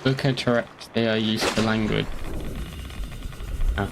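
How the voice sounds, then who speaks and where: A man's voice: on the low side, fairly even intonation, measured pace, creaky, noisy background. Rambling young man streaming a game. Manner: rambling, joking, swears casually. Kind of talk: casual gaming commentary.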